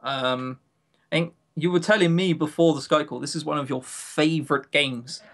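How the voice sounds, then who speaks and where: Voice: medium pitch, fairly even intonation, moderate pace. Casual podcast co-host, mid-range voice, dry sarcasm, talking over an online call. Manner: wry, sarcastic, swears casually. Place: remote voice call.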